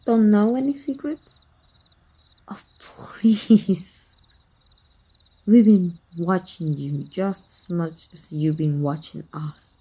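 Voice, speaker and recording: Slow, husky woman acting a sly vampire hunter. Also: on the low side, warm tone, exaggerated voice, poor audio. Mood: smug persuasion. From Mexico. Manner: slow, deliberate, mock-sweet and menacing. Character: sly, taunting, sadistic female vampire hunter, manipulative estranged sister. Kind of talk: ASMR roleplay acting.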